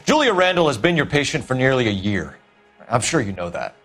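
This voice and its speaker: An actor with an American accent playing a brisk, courteous, probing police detective in a naturalistic video game style. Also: male voice, mid-range voice, sing-song, fairly bright tone, soft background music.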